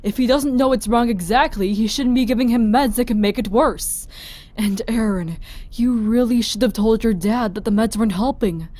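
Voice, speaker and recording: A woman's voice: fairly high pitch, moderate pace, darkish tone, natural voice. A woman playing a concerned, blunt medic in a zombie apocalypse audio drama, questioning and firm. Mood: blunt, exasperated.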